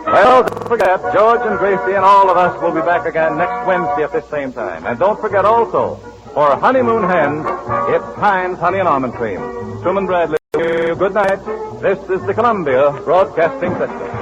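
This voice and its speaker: A man announcing ads in a smooth, persuasive 1940s radio style. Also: high voice, animated, normal speed, loud music behind the voice. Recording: dull old radio broadcast.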